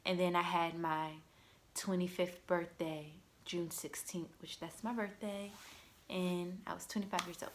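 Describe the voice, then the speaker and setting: A young woman in her twenties chatting to the camera in a lowish voice. She talks loosely and warmly, scattering "like" and "you know" through her sentences. A faint hiss of noise sits underneath. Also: fairly even intonation, measured pace. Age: 20s. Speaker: vlogger mom. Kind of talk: casual chat to camera.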